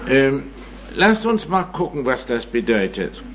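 A man's voice: medium pitch, sing-song, measured pace. A man in his nineties giving an informal lecture, rambling and self-mocking, with many hesitations and tag questions. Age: 90s. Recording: dull recording with faint hum.

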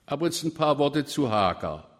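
A man's voice: a little high, sing-song, normal speed. A man with a Swabian accent preaching a sermon, emphatic and at times rising to fervent proclamation. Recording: long reverb of a church.

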